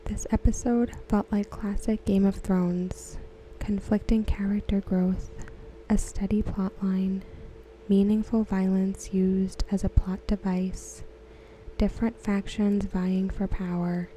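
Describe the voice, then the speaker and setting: Female ASMR reader: very dark, soft breathy near-whisper, even gentle delivery, some background noise. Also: mid-range voice, rough recording. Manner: hushed, gentle, unhurried delivery. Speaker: ASMR creator. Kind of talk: soft-spoken ASMR read-aloud of written comments.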